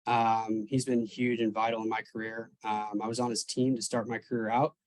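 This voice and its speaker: A man in his twenties, a real estate agent, answering interview questions over a video call, relaxed, with frequent ums and restarts. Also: on the low side, moderate pace, no echo, poor audio. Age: 20s.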